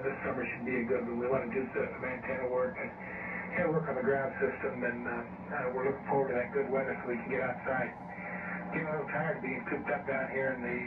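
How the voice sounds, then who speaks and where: A man of sixty chatting casually as an amateur radio operator, rambling along with hesitations. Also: mid-range voice. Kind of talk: casual ham radio conversation. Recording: tinny narrowband radio audio, loud static, distant, echoey.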